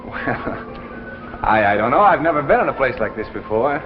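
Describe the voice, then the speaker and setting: An actor playing an eager, polite aspiring writer in a 1950s radio drama, hesitant, stammering and deferential. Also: male voice, mid-range voice, sing-song, moderate pace, over music, lightly acted. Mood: polite, awkward. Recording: muffled old radio recording with reverb.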